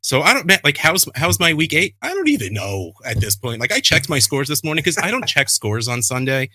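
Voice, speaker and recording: A man in his thirties, a fantasy football podcaster, chatting casually and telling animated stories with a self-deprecating streak. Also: on the high side, brisk, husky, no echo, studio quality.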